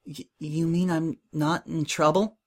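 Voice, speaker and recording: Timid young female pony assistant, played by a male narrator: raised pitch, shaky, apologetic, storybook delivery. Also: animated, a little slow. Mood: meek, nervous. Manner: stammering, shaky, breathless pleading.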